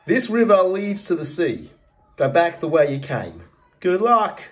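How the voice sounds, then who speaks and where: A man voicing a big, helpful rat who gives brisk, encouraging orders in a children's storybook reading. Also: on the high side, very animated, pitched above the performer's own voice. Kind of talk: children's storybook read-aloud.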